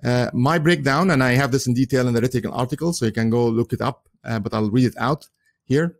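A man's voice: mid-range voice, quick pace. A man whose first language is Arabic, giving an earnest solo commentary in English with frequent chuckles and many "uh"s and restarts.

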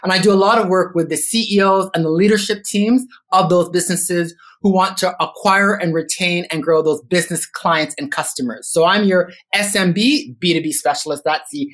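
A middle-aged Canadian man being interviewed on a podcast, talking in a measured way with frequent ums and restarts. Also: high voice, fairly bright tone. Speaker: podcast guest, business consultant. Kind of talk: casual interview chat.

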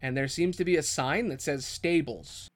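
Male game master, slightly high register, improvised and descriptive tabletop storytelling with a dramatic touch. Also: normal speed. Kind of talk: improvised tabletop fantasy narration.

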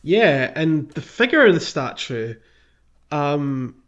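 A man hosting a casual gaming podcast, recapping a playthrough in halting phrases with pauses to think. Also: fairly high pitch, sing-song, dull audio. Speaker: podcast host.

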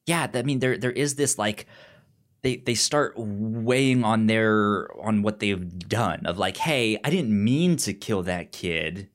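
Slow, halting man with a lowish voice. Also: animated. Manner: halting, stammering with repeated words and syllables. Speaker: film podcast host. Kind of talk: casual film discussion.

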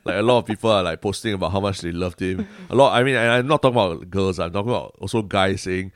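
Deep-voiced Singaporean man, casual chat. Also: fast, dry recording, clean studio sound. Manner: relaxed, agreeable, ends phrases with lah. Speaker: podcast co-host.

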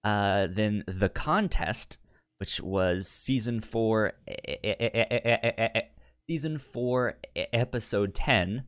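A man's voice: fairly low pitch, takes it slowly, a bit bright, clean tone, no echo, studio quality. A man co-hosting a podcast in a casual, chatty style, often stammering and repeating the starts of words. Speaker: podcast co-host. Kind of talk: casual chat about TV sitcoms.

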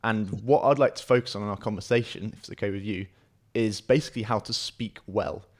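A man with a fairly low voice hosts the show. He asks questions with enthusiasm and encouragement, and often responds with short affirmations. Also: a little fast. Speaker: podcast host. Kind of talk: interview hosting.

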